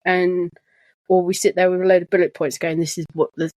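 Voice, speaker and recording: Soft-spoken woman co-hosting a podcast. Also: fairly low pitch, little pitch movement, brisk, dry recording. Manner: soft-spoken, rambling with restarts. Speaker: podcast co-host. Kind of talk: casual chat. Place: living room sofa at home. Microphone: shared desk microphone.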